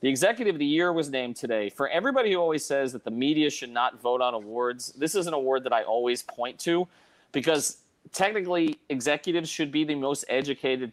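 A man hosting a sports talk show in a casual, conversational style. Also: medium pitch. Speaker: podcast host.

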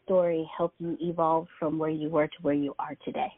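A woman hosting a podcast interview, asking questions calmly. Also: sits low, a little fast, no echo. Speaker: podcast host. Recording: dry, phone-like narrow sound.

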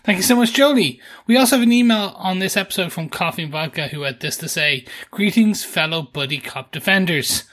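A man's voice: on the high side, bright, forceful tone. A man co-hosting a podcast, chatting casually about a TV show and reading out listener mail, sometimes repeating words as he thinks. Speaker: podcast co-host. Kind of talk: casual TV recap chat, reading listener email.

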